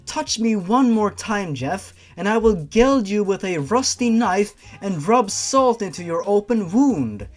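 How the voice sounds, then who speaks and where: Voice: mid-range voice, lively intonation, measured pace, hardly any pauses. A narrator acts out a young woman in a fan-fiction reading. The figure is feisty and fed up, handing out firm warnings and threats with music behind. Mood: threatening.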